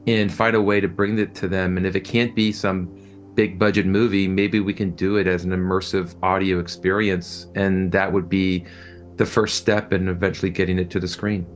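A man's voice: sits low, little pitch movement, normal speed, soft background music. A man answering interview questions as a producer, conversational and enthusiastic, often repeating words as he thinks. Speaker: film and audio drama producer being interviewed.

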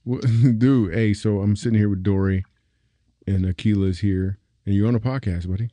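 A man's voice: fairly low pitch, steady tone, moderate pace, mellow, no room sound. A man hosting a comedy podcast, joking and teasing playfully and laughing often. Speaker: podcast host. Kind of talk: casual comedy podcast banter.

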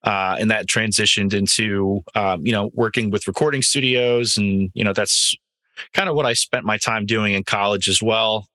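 Bright, low-pitched man, casual. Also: fairly even intonation, normal speed. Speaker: podcast guest, sales manager. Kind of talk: casual interview answers.

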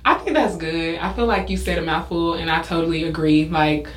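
A woman's voice: sits low, brisk. A young American woman hosting a casual conversational podcast, agreeable and affirming as she talks. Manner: agreeable, frequent affirming interjections. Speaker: podcast host. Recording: roomy, echoey sound.

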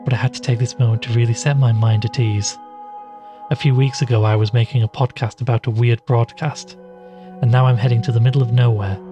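A man's voice: medium pitch, close to an everyday voice. A man playing an anxious podcaster in an audio drama, confiding and earnest as he narrates. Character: anxious male podcaster investigating an occult mystery. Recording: music behind the voice.

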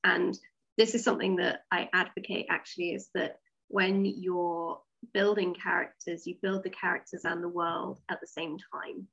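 A woman's voice: lowish voice, flat delivery, moderate pace, vocal fry, fairly bright tone, lo-fi sound. A woman interviewed as a writer, explaining her method conversationally, step by step, with rhetorical questions. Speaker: interview guest, audio drama writer.

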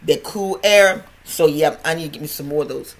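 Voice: medium pitch, moderate pace, rough recording. Older podcast host with a stutter. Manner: stutters, restarts and repeats words; chatty, folksy and rambling. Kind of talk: casual health podcast monologue.

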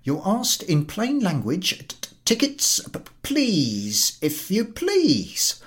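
A man's voice: high voice, huge pitch swings, takes it slowly, voice pushed up, full character voice. A man voicing an officious, over-zealous head ticket collector in a story reading, pompous and insistent, with a stutter. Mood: impatient, insistent.

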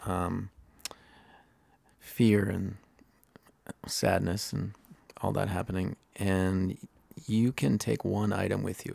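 A man hosting a casual interview, relaxed and giving short replies. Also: sits low, creaky, breathy edge, dry recording. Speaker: interview host.

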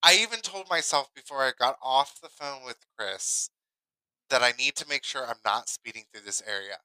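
Bright, forceful man telling casual stories. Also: medium pitch, moderate pace, clean tone, dry recording, poor audio. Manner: emphatic, repeats himself for emphasis. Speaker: podcast co-host. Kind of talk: casual personal storytelling.

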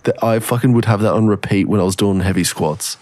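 A man with a croaky, strained voice, co-hosting a podcast in casual, sweary, enthusiastic music review chat. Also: lowish voice, fast talker, a little mellow. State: voice strained from singing. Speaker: podcast co-host.

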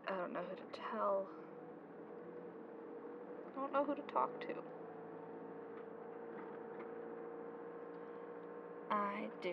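Woman acting a distraught, halting young woman, muffled and noisy. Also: fairly low pitch, vocal fry. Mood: frustrated, lost. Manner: halting, emotional, repeating herself. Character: distraught young woman pleading with her missing friend. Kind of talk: audio drama acting. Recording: muffled, noisy, vehicle-like ambience.

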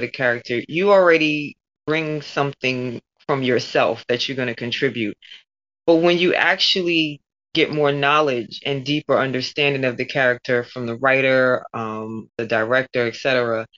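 Female interviewee, voice actor; low, forceful bright tone, conversational delivery, slightly dull lo-fi recording. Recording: dull lo-fi audio.

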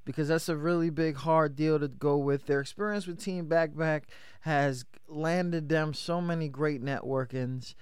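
Brief: male radio host, high clear voice, loose hype delivery, dry close mic. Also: fairly even intonation, measured pace, dry recording. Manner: loose, hype, lots of shout-outs and fillers. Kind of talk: casual hip-hop radio interview.

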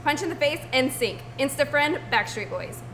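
A woman's voice: high-pitched, animated, normal speed, a bit bright, a little hiss or hum. A woman on a casual group podcast, talking playfully.

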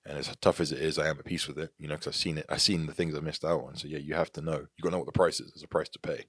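A low-pitched English man in his thirties speaks earnestly and reflectively as a guest in a relaxed interview. His voice is close and dry, with no room sound around it. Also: rapid-fire, slightly rough, no room sound. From England. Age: 30s. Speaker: podcast guest. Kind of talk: casual interview talk.

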